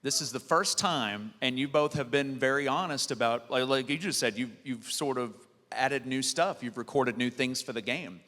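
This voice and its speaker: A man's voice: medium pitch, normal speed, bright, forceful tone, big-room sound. A man moderating a convention panel, upbeat and asking long, winding questions.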